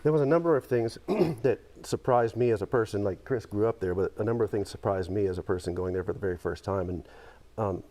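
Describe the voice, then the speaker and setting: A man's voice: on the low side, fast talker, dark tone. A man speaking conversationally as a guest in an interview, answering questions about his experiences. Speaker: interview guest, motorcycle YouTuber.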